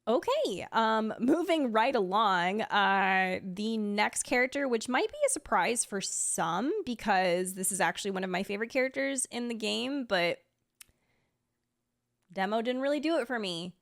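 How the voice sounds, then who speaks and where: A woman's voice: on the high side, animated, a little slow, no room sound, studio quality. A woman giving casual, chatty reaction commentary as a video creator, rambling along with gushing asides. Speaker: video creator and game streamer. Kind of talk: casual reaction commentary, ranking game trailers. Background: faint music.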